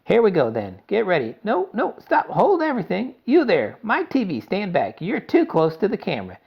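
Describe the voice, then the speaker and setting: A man reading aloud and voicing an eccentric, excitable male chocolate maker in a high theatrical voice. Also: normal speed, vocal fry, husky, full character voice. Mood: excited. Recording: dull lo-fi recording.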